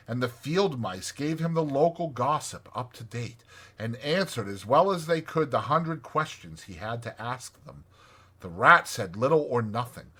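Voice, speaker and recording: A man narrating a children's classic audiobook in an expressive, scripted storytelling style. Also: medium pitch, breathy edge.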